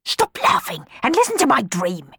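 A woman's voice: high-pitched, wildly swooping pitch, moderate pace, vocal fry, a bit bright, husky, no echo, studio quality, pitched above the performer's own voice, heavily acted. A woman voicing a pompous, boastful middle-aged father telling his daughters about his dream in a storybook reading.

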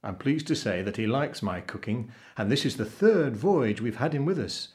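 A man voicing a kindly, fat ship's cook in a storybook, explaining things in a reassuring, unhurried manner. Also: medium pitch, expressive, darkish tone, natural voice.

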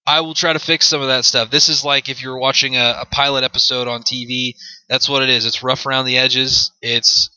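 A man's voice: medium pitch, flat delivery, fairly quick, sharp tone, clear, resonant tone, dry recording, muffled recording. A man in his mid twenties with an American accent, hosting an unscripted solo sports podcast in a rambling way, often repeating his phrases. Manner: rambling, repeats phrases, leans on "you know". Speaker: podcast host.

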